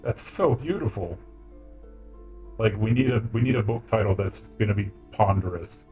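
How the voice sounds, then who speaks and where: A man's voice: lowish voice, moderate pace, warm tone, faint music behind. A man streaming live who plays a game and makes joking, sarcastic remarks. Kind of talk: casual live-stream commentary.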